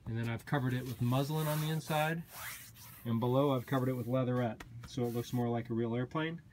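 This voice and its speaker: A man giving a relaxed, matter-of-fact walkthrough of his basement home flight simulator, filmed on a handheld camera. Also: mid-range voice, fairly quick, a little hiss or hum. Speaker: flight sim hobbyist giving a tour. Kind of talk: casual walkthrough narration. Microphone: handheld camera mic, moving around.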